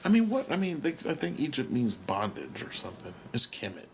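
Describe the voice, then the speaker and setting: American dude thinking out loud, gravelly voice, kinda rambly, faint hiss behind him. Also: male voice, mid-range voice, huge pitch swings, a little fast. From United States. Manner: musing, self-questioning, trails off; drops to a whisper at times, casual swearing. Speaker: podcast host. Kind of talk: rambling solo monologue.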